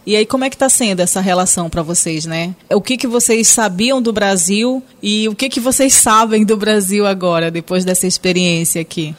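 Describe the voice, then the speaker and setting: A woman's voice: medium pitch, normal speed, hardly any pauses. A woman with a Brazilian accent hosting a conversational radio interview. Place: radio studio.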